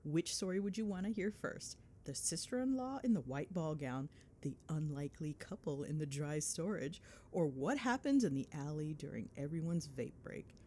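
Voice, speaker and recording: A woman playing a chatty, cheeky, affectionate girlfriend in an ASMR roleplay, telling stories in an animated, sarcastic, dramatic way. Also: sits low, moderate pace, slight background noise. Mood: amused, gossipy. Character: chatty, cheeky, affectionate girlfriend gossiping while driving home. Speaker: voice actor.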